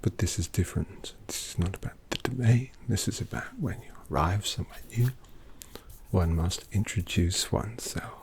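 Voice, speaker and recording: A man voicing a refined, courteous vampire painter in a whispered ASMR roleplay, polite and quietly menacing. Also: low voice, moderate pace, a little hiss or hum. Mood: hushed. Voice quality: soft whispery delivery with gravelly creak.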